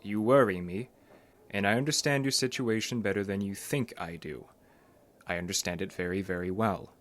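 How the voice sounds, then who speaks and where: An actor playing a concerned psychologist in an audio drama, a man who is earnest, measured and gently pressing. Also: lowish voice, natural voice. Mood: worried, pressing. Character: concerned, probing male psychologist, earnest and cautioning.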